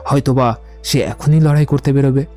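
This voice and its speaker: A man's voice: medium pitch, steady tone, fairly quick, mellow, soft background music, close to an everyday voice. A man playing a witty, poetic young romantic in an audio drama, eloquent and playfully theatrical. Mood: playful bravado. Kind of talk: dramatised audiobook dialogue acting.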